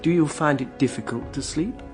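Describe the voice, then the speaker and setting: An actor playing a courteous, considerate middle-class English man in a radio drama, talking in an expressive, conversational way. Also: on the high side, normal speed, over music, lightly acted. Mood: affable, chatty.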